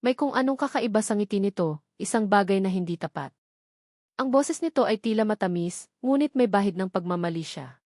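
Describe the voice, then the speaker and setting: A woman's voice: medium pitch, expressive, a little fast, a little mellow, no echo. Filipino woman narrating drama, dry close sound. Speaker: story narrator. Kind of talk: scripted dramatic narration of a Tagalog love story.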